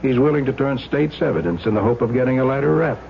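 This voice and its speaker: A man's voice: mid-range voice, lively intonation, creaky. An American man playing a skeptical police lieutenant in an old radio crime drama, questioning with dry, knowing sarcasm. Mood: firm, pressing. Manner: deliberate, dryly sarcastic, knowing. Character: skeptical, sardonic male police lieutenant interrogating a suspect. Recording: dull, noisy old radio recording with hum.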